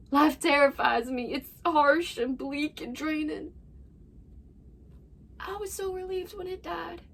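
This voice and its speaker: A woman's voice: sits high, a bit bright, full character voice. A woman performing a dramatic monologue as a desperate young farm wife confessing to her absent husband in an anguished manner. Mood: furious, anguished. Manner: anguished, swinging between whispers and outbursts. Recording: distant mic with faint background noise.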